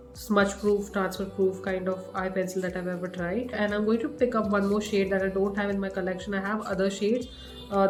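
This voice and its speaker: A woman's voice: medium pitch, a little mellow. A young woman with an Indian English accent, her voice a little groggy from a cough, chatting casually through a makeup review with plenty of fillers and restarts. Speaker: beauty vlogger. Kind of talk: casual product review to camera. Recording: echoey room with faint music.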